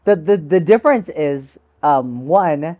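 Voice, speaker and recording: A woman's voice: sits low, takes it slowly. Joking woman guest. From United States. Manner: animated, joking, self-mocking. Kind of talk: casual interview chat.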